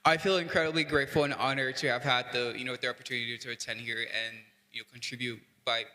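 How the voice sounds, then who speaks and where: A man's voice: medium pitch, little pitch movement, fast, bright, forceful tone. A young man from Cameroon asking a panel a question from the audience mic, polite and hesitant with many fillers. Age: young adult. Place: conference hall, audience mic. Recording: reverberant hall.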